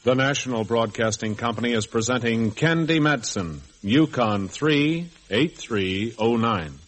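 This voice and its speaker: A man's voice: medium pitch, lively intonation, measured pace, lightly acted, rough recording. A man announcing a radio drama in a vintage network style. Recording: old radio recording under loud music.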